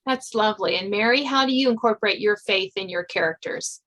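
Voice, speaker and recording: A woman's voice: mid-range voice, a little fast, a bit bright. A woman hosting a podcast, talking with guests in a conversational interview style. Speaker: podcast host.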